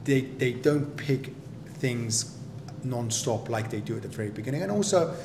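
A man, a property developer, explaining construction inspections in a practical, measured way as his wife interviews him. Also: creaky. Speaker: property developer and general contractor. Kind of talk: explaining in a relaxed home interview. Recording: echoey room with steady rumble.

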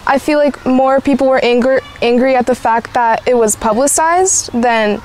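A woman with a fairly high voice, speaking earnestly and plainly. The sound is clean, with nothing notable in the background. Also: normal speed, darkish tone. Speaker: interviewee.

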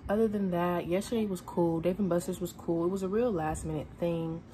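Young woman vlogger, relaxed and rambling, lowish voice, noisy phone audio. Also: a little fast. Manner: laid-back, chatty, rambling, slangy. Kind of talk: casual vlog chat to camera. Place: bedroom at home, indoors. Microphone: phone camera at arm's length.

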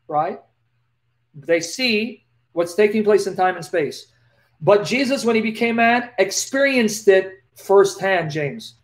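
A man in his forties with a lisp, talking to his webcam in a live question-and-answer stream and reading scripture aloud in an animated, preacherly way. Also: high voice. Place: small dim indoor room. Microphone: laptop webcam, close.

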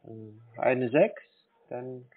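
A man speaks slowly and haltingly over a dull, narrow phone line. He dithers like a muddled pensioner and repeats himself, at an ordinary male pitch. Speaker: scam baiter playing a gullible customer. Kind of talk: phone call, slow put-on pensioner act. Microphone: phone call.

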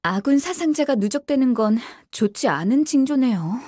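A woman playing a courteous young female military operations announcer in a game, formal, measured and polite. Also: high-pitched, lively intonation, mellow, no room sound, clean studio sound. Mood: analytical. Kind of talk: scripted game voice acting, tactical radio briefing.

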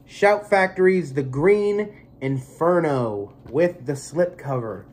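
A man's voice: a little high, animated, slow pace, darkish tone. A young man in his twenties, stuffy from allergies, rambling casually through a movie unboxing in a small bedroom, recorded on a close webcam mic. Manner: rambling, filler-heavy, easygoing. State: congested from allergies. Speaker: movie collector vlogger.

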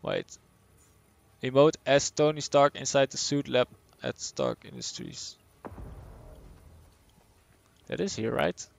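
A young man from the Netherlands with a Dutch accent, chatting casually as he streams a game, answering chat and thinking out loud. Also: mid-range voice, a little slow, creaky. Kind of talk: live gameplay commentary, reading chat.

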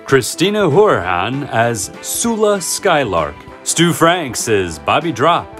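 Lively man reading podcast credits. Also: medium pitch, slow pace. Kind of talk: scripted credits read-out. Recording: faint guitar music behind the voice. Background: faint guitar music.

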